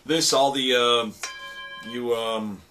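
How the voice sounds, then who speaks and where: A man giving an informal, halting and rambling demonstration of sound-effect instruments, as a radio drama producer. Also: medium pitch, steady tone, sharp tone, soft background music. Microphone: stereo microphone. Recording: noisy recording with stereo microphone.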